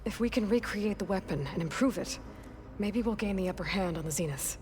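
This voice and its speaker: An actress playing a determined young huntress who asks direct, probing questions in a game cutscene. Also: female voice, medium pitch, lively intonation, a little fast, darkish tone, a little hiss or hum, lightly acted. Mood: matter-of-fact. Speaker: game protagonist. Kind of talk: video game cutscene acting.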